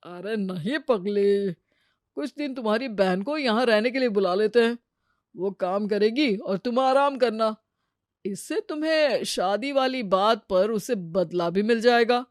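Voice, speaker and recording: A woman's voice: on the high side, a little slow, no echo, studio quality, close to an everyday voice. A woman voicing an easygoing young husband in a cartoon, coaxing and teasing.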